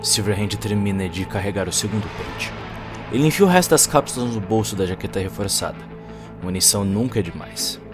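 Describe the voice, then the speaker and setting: Low-pitched Brazilian male story narrator. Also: lively intonation, brisk. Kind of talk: dramatic scripted story narration. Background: faint music.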